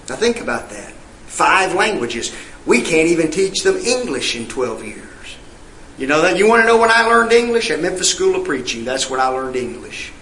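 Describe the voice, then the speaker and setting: A man's voice: fairly high pitch, fairly bright tone, roomy sound, slight background noise, low-quality recording. A male preacher, delivering an emphatic sermon full of rhetorical questions and scripture read in old-fashioned wording. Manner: reads scripture in King James wording.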